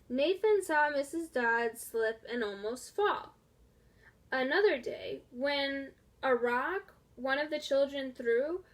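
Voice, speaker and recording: A woman reading a children's storybook aloud in a deliberate, expressive way. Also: high-pitched. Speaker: storybook reader. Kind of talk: scripted children's story narration.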